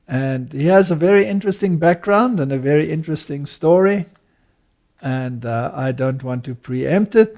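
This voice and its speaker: A man's voice: medium pitch, gravelly, a little mellow. An older man, introducing and questioning a guest as an interview host.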